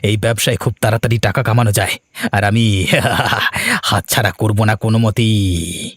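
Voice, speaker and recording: Man acting a menacing, husky crook. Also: fairly low pitch, moderate pace, a bit bright, no echo, clean studio sound. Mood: greedy, scheming. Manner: taunting, threatening. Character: greedy, menacing male crook, a defiant younger brother. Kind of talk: cartoon voice acting.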